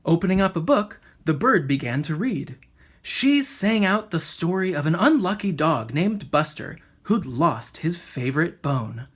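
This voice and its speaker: A woman reading a children's storybook aloud in an expressive narrating style. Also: on the low side, a little slow, slightly rough. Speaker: storybook reader.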